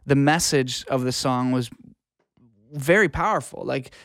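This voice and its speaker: A man's voice: medium pitch, unhurried, fairly bright tone, dry recording, studio quality. A man speaking as a music producer in a casual interview, explaining reflectively in plain terms and often checking in with the listener. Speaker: music producer and audio engineer, podcast guest.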